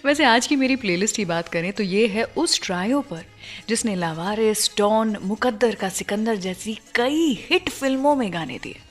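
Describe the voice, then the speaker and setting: A woman's voice: medium pitch, lively intonation, normal speed. A woman hosting a radio music show, telling stories in a scripted but conversational way and mixing in English phrases. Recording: music under the voice. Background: background music.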